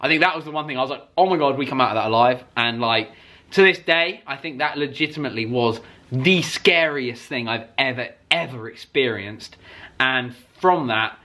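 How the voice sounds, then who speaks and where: A man telling a personal story like a vlogger, casually and excitably, with emphatic swearing and acted-out reactions. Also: mid-range voice, normal speed.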